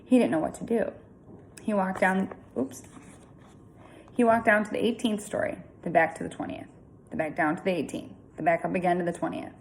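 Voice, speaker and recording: A woman reading a children's story aloud in an informal, chatty, expressive way with casual asides. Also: on the low side, brisk, slight background noise, rough recording.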